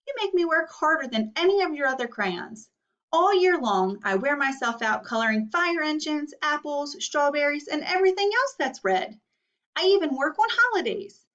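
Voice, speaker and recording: A woman's voice: high-pitched, animated, normal speed, a bit bright, pitched above the performer's own voice. A woman voicing an overworked male red crayon from a storybook, complaining emphatically and pleading for rest. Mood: weary complaint. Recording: dull, distant microphone.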